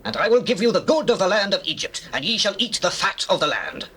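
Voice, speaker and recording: A grand, generous Egyptian monarch in a biblical audio drama. His high voice is forceful and husky, issuing commands with sweeping theatrical intonation. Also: sing-song, fast talker, heavily acted. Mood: grand commanding. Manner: commanding, declamatory. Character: Pharaoh, imperious, generous king of Egypt.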